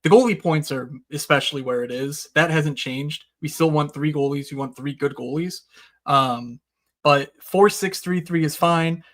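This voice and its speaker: A man's voice: on the high side, normal speed. A man who is a recurring guest analyst, talking casually and with enthusiasm as he explains draft strategy, with frequent fillers.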